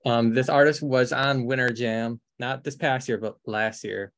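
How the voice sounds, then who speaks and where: A man's voice: mid-range voice, steady tone, unhurried, a bit bright. A man hosting a casual online quiz game, upbeat and joking as he gives hints. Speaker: quiz host.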